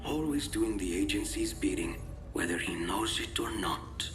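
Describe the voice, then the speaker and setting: A man's voice: on the low side, animated, moderate pace, natural voice. Man acting a smug, suave villain over music. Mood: smug, courteous. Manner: suave, mocking, mock-courteous, theatrical. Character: smug, genteel male villain, corporate mastermind of a private army. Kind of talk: video game cutscene acting. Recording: loud music under the voice.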